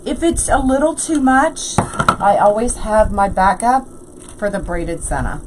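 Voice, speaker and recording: Slow-talking woman crafting live. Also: medium pitch, animated, noisy background. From USA. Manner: chatty, laughs often, reads viewer comments aloud. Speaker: craft livestream host. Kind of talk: casual live crafting tutorial.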